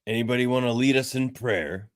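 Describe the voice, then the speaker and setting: A man playing a gruff, sardonic, impatient mutant fighter in a radio theatre read. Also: mid-range voice, a little fast, dry recording, natural voice. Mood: grim. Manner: curt, sardonic.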